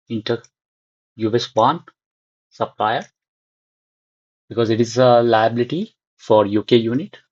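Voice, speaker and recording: A man giving an unscripted screen-share software tutorial, thinking aloud in short, halting fragments. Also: mid-range voice, slightly rough, no echo, muffled recording.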